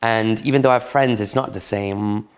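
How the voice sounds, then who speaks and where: A man's voice: fairly low pitch, fairly even intonation, normal speed, clear, resonant tone. A man, telling his personal story in an interview, candid and reflective. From Barbados. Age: late 30s.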